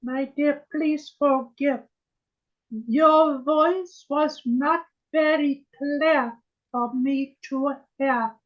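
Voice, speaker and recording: A trance medium channeling a gentle female spirit sister on a video call, speaking in a solemn, old-fashioned way and calling everyone my dear. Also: high-pitched, steady tone, dull audio. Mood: solemn, tender. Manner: slow, solemn, archaic phrasing, keeps saying my dear.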